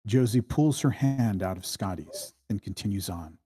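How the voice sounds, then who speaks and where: A man narrates the stage directions of a screenplay at a table read. His voice is rather low and noticeably husky. The delivery is scripted narration. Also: normal speed, dark tone.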